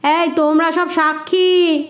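A woman's voice: extremely high pitch, level tone, takes it slowly, lo-fi sound. A woman voicing a boastful, scoffing village shopkeeper man in a storybook tale. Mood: gleefully confident.

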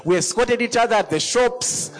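A middle-aged man preaching a sermon. He talks in an animated way and laughs often between points. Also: very high voice, normal speed. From Zambia.

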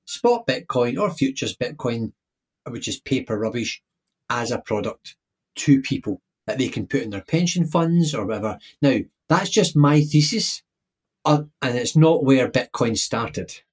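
Husky male podcast co-host, emphatic and opinionated, casual chat. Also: medium pitch, expressive, measured pace. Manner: opinionated, emphatic, repeats words when starting.

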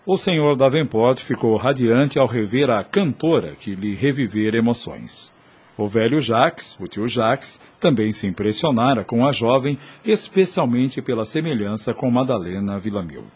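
A man with a Brazilian accent and a husky voice, giving scripted dramatic narration for a radio soap. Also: mid-range voice, a little mellow. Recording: music bed under the voice.

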